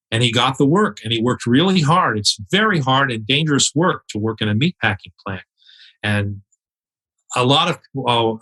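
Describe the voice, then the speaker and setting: A man with a bright, forceful voice talks in a relaxed interview. He tells long stories with real feeling and acts out other people's words. He often stops and restarts his sentences as he thinks. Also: medium pitch, low-quality recording. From United States. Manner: earnest, quoting others with feeling, many restarts. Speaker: podcast guest, charity cyclist. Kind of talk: conversational interview storytelling. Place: home in New York City.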